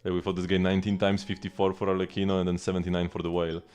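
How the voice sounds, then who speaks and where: A man's voice: sits low, flat delivery, racing, darkish tone, clean tone. A man giving casual live gameplay commentary, rambling and thinking aloud as he goes. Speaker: game streamer. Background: game music.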